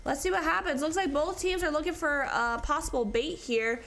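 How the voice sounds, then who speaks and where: A woman doing live play-by-play commentary on an esports match, sounding stuffy from allergies and getting excited in close fights. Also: high-pitched, normal speed. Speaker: esports caster.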